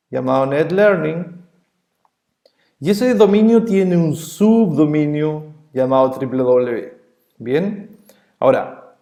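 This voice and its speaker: A man's voice: a little high, warm tone. A man from Chile with a Chilean accent, explaining networking as an instructor in a recorded lesson, repeating key terms and checking in with "¿bien?". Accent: Chilean Spanish. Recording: roomy echo.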